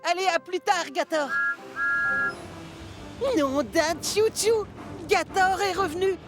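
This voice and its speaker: A woman's voice: very high voice, slow, over loud music. A woman voicing a bubbly, eager young male tank engine in a children's cartoon, exclaiming with enthusiasm. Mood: excited.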